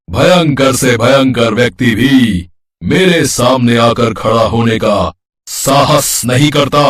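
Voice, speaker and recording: A man voicing an arrogant, menacing demon king in a mythological cartoon story, boastful and theatrical. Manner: boastful, theatrical, drawn-out delivery with booming threats.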